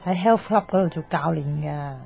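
A woman's voice: sits low, a little fast, creaky. An actress playing an outspoken, teasing young woman who gives love advice, chatty and sighing, with a Hong Kong Cantonese accent in a radio drama. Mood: chatty, rueful. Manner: chatty, blunt, sighing, mock-exasperated. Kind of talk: naturalistic radio drama acting. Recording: slightly dull, music underneath.

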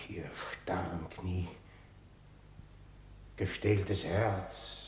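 A man's voice: low voice, darkish tone, reverberant, a little hiss or hum. An actor playing a tormented, commanding usurper king in a radio tragedy, with heavy declamatory delivery. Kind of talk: classical stage tragedy radio acting.

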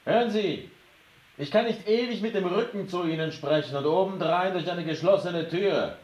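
A man's voice: high-pitched, normal speed, darkish tone, natural voice. A male actor plays an exasperated man in a German radio drama. The recording is roomy, with a faint hiss around his voice. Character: exasperated man giving orders. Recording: roomy, hissy sound.

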